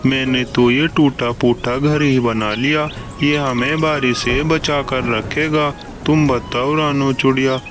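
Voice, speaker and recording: A man's voice: medium pitch, natural voice. A man voicing a kindly, helpful male animal in a children's cartoon fable, instructive and emphatic. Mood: concerned, eager. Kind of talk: Hindi children's cartoon storybook dialogue. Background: loud music.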